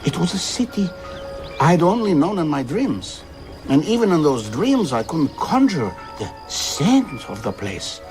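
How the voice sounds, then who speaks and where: An actor playing an idealistic older traveller and philosopher in an audio drama, speaking in a measured, reflective way. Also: male voice, a little high, big pitch swings, music in the background, rough recording. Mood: wistful. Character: worldly old male traveller-philosopher, idealistic and earnest.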